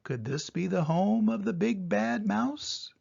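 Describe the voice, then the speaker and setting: A man's voice: sits high, expressive, slow pace, vocal fry, a little mellow, husky, highs cut off. An older man, a grandfather, reading a children's story aloud expressively.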